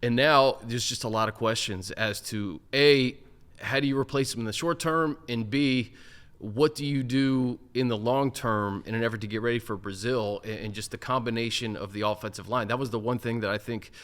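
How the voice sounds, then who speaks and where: A man's voice: mid-range voice, moderate pace, a bit bright. An American man hosting a sports talk show, laying out points earnestly and handing questions to his co-host.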